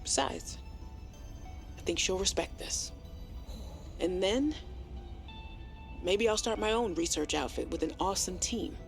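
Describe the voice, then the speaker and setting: A woman playing an earnest, anxious but quietly defiant scientist in a video game audio log, hesitant and confiding. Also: mid-range voice, lively intonation, measured pace, creaky, pauses often, soft background music. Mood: wistful, hopeful.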